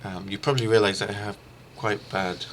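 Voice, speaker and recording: A middle-aged man giving an informal instructional screencast as a language teacher, haltingly thinking aloud. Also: fairly low pitch, steady tone, fairly bright tone, a little hiss or hum. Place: small room lined with shelves, indoors.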